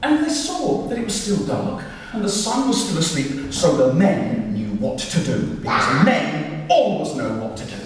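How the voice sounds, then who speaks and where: A man telling a story live to an audience of children and adults, animated and dramatic, putting questions to his listeners. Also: fairly high pitch, moderate pace. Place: reverberant room with a live audience. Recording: echoey hall, distant mic, noisy.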